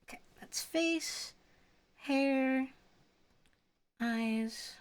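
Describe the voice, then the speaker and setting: A woman's voice: sits high, extremely slow, clear, resonant tone. A young woman streamer chatting casually with her viewers and giggling often. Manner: giggly, chatty, reads chat aloud.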